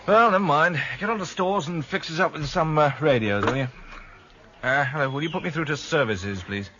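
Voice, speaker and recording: An actor playing a harassed police inspector in a radio drama, grumbling with dry, sardonic humour. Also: male voice, a little high, a little fast, natural voice. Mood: wry, brisk. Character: wry, harassed male detective inspector. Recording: slightly dull, noisy radio drama recording.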